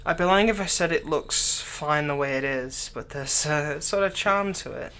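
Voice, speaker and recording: A man playing a doting, lightly teasing fantasy villain captor in an ASMR roleplay, speaking softly and tenderly, coaxing the listener without hurry. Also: fairly high pitch, slight background noise. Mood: gentle, reassuring. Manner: soft, coaxing, unhurried, tender.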